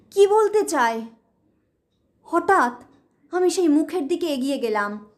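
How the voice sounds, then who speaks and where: A woman reading a story aloud in a dramatic storytelling style. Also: high-pitched. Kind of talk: dramatic audio story narration.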